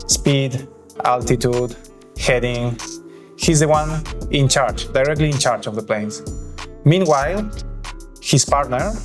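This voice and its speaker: This is a man with a Spanish accent and a mid-range voice, presenting an explainer. He narrates in an instructional, lightly scripted style. Music plays clearly behind him as he talks. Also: medium pitch. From Spain.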